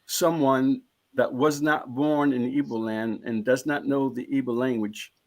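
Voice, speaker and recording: A man's voice: mid-range voice, steady tone, a little slow, poor audio. A man speaking as a panelist in a live discussion, earnest and emphatic, often repeating a phrase as he builds toward his point.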